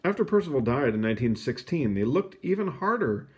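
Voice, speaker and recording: A man reading a children's storybook aloud in an expressive, explanatory way, with casual asides. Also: mid-range voice, a little fast. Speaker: storybook reader.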